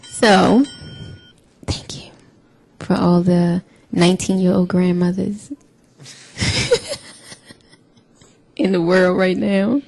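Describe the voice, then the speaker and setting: A woman's voice: on the low side, flat delivery, takes it slowly, vocal fry, mellow. An American woman in her forties hosting a casual radio talk show, chatting playfully and repeating phrases for emphasis. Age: 40s. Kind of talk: casual chat. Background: music.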